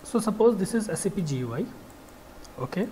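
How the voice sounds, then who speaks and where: A software trainer giving an informal technical lecture, explaining in a halting, repetitive way with frequent check words. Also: medium pitch, animated, a little hiss or hum.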